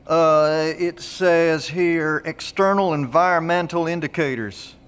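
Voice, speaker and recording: A man's voice: a little high, slow, clear, resonant tone, big-room sound. A man playing a plainspoken, practical, good-natured country fellow in an audio drama, with a folksy, informal manner. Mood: curious.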